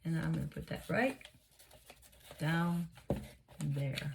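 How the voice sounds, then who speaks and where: Chatty crafter woman, a little hoarse. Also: low voice, slow, background noise. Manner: chatty, narrates each step, gushes over results. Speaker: craft video host. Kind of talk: casual craft tutorial.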